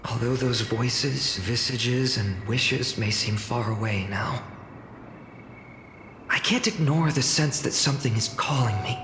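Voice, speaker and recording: A man's voice: mid-range voice, a little slow, noisy background, lightly acted. A male actor voicing an earnest, humble young village boy and would-be hero in a game, hesitant and thoughtful. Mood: pensive resolve. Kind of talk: dramatic game acting. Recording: echoey hall reverb, music behind.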